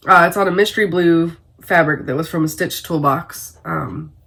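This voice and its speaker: A woman's voice: on the low side, normal speed, creaky, fairly bright tone. Stuffy-nosed woman chatting casually. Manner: chatty, rambling, laughs often. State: stuffy nose from a cold. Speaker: cross-stitch vlogger. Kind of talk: casual chat to camera.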